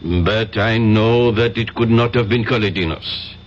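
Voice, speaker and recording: An actor playing a sceptical French police inspector in a radio drama, speaking formal, halting English with a French accent. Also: male voice, lowish voice, natural voice. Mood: protesting, firm. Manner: halting, searching for English words. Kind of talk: 1970s radio drama acting. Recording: dull, noisy old radio recording.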